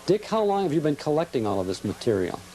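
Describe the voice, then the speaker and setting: Male show host, mellow and inviting, friendly intro and interviewer. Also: a little high, very animated, fast, a little hiss or hum, rough recording.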